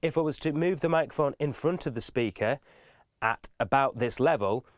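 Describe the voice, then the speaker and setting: A man's voice: medium pitch, normal speed, dry recording, studio quality. A man giving an informal step-by-step video tutorial in a methodical, instructive manner.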